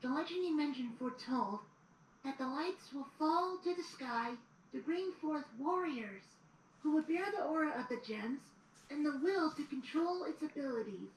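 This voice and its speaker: Woman voicing a high-pitched young boy dragon. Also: expressive, normal speed. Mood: earnest storytelling. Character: young boy dragon assistant reading history aloud. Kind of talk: audio drama storybook narration. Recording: roomy, distant, noisy replayed audio.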